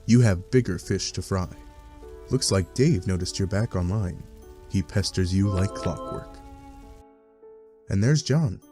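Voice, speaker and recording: A man's voice: sits low, unhurried, long pauses. A man reading scripted second-person webcomic narration with wry, dry asides. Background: music.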